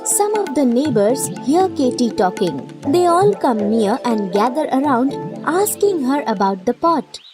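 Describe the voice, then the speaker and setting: A woman's voice: high voice, big pitch swings, measured pace. A woman reading scripted children's story narration as a storyteller. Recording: music clearly behind the voice.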